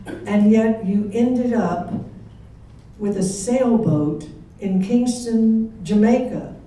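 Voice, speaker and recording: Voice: mid-range voice, slow, warm tone. An interviewer host asking leading questions and joking along with guests. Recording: very echoey, distant, faint hiss.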